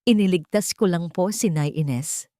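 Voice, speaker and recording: A woman's voice: on the low side, measured pace, warm tone, no room sound, studio quality, lightly acted. A woman voicing a humble, injured servant woman who pleads faintly and respectfully in an audio drama. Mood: desperate pleading.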